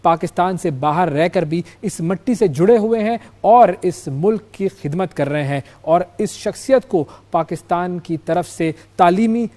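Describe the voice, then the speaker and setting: A man with a Pakistani accent presenting and interviewing as a current affairs host, in a measured, emphatic, formal manner. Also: high voice, mellow. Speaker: news programme host.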